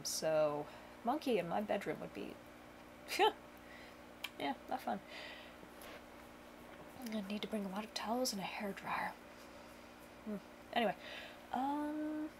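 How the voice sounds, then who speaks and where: A woman's voice: fairly high pitch, big pitch swings, a little slow, pauses often, background noise. A young woman chatting casually to camera at home, rambling with lots of fillers and dry self-mocking humor. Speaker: vlogger. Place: home bedroom.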